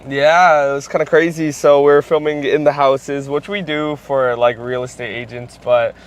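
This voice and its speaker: A man's voice: mid-range voice, fairly even intonation, moderate pace. Man, chatty real estate vlogger, upbeat. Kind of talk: casual on-location vlog. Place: house being shown, indoors.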